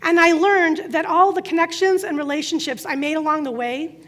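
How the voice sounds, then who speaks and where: A middle-aged woman with a high voice, whose first language is Croatian, gives an earnest prepared talk about her life. She tells her story with emphatic pauses. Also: fairly even intonation, fairly bright tone. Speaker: speaker.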